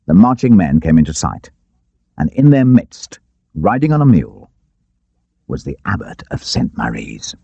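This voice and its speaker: A man narrating an adventure audiobook in an expressive, theatrical storytelling style. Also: a bass voice, moderate pace, creaky, long pauses, breathy edge, no echo. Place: dry studio. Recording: dull, lo-fi tape audiobook.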